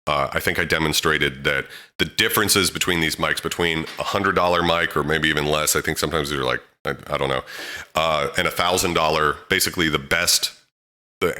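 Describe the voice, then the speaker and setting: A man with a very deep voice hosting an audio tutorial, talking casually about microphones with plenty of fillers, close to a handheld mic in a home studio. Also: normal speed. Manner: relaxed, chatty, frequent fillers. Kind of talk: casual how-to explainer.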